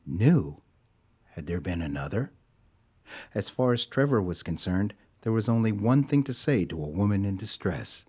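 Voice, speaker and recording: A man with a fairly low voice narrates a scripted story. His delivery is deliberate and dramatic, shaped for an audio drama. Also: very animated, darkish tone, breathy edge.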